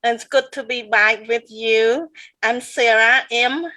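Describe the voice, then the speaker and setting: A woman's voice: fairly high pitch, steady tone, slow, pushed and bright, no echo. A woman co-host from Cambodia, speaking earnestly and repeating words.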